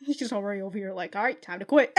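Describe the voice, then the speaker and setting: A woman's voice: mid-range voice, rapid-fire. A young woman hosting an anime reaction video from a small room at home, chatty, laughing often and swearing in outbursts. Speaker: anime reaction channel host. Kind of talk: casual reaction commentary.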